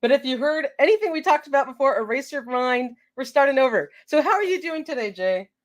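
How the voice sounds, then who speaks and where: High-voiced, congested woman host. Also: animated, brisk. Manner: laughing, loose, reads off viewer names. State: congested from a cold. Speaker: live show host. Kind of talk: casual live-stream chat.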